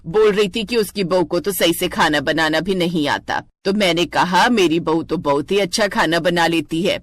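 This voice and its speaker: Woman voicing a doting older mother-in-law, lowered pitch. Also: moderate pace, natural voice. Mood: proud, chatty. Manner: warmly praising, homely advising. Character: elderly doting mother-in-law, proud and homely.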